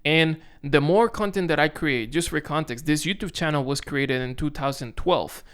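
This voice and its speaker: A man's voice: on the high side, moderate pace, a bit bright, clear, resonant tone. A young man from the Dominican Republic with a Dominican Spanish accent, talking casually and self-consciously to the camera as a content creator, with frequent restarts. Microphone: camera on tripod.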